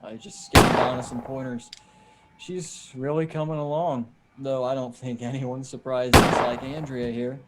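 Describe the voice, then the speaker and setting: A man playing a steady, caring father who leads survivors in a comic table read, earnest and encouraging, on a video call. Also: medium pitch, unhurried, lightly acted. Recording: noisy online video call.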